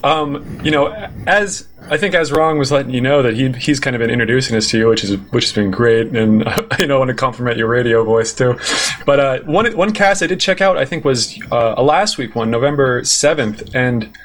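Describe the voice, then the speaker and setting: A man's voice: mid-range voice, brisk, slight background noise. A man co-hosting a podcast, chatting casually and complimenting his guest. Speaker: podcast co-host. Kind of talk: casual interview chat.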